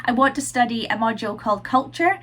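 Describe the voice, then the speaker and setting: A woman's voice: a little high, animated, quick pace, fairly bright tone. Chatty young woman, stuffy-nosed. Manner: chatty, lots of "like" and "um". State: stuffy nose from a cold. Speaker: vlogger and university student. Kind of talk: casual chatty vlog. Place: her room at home.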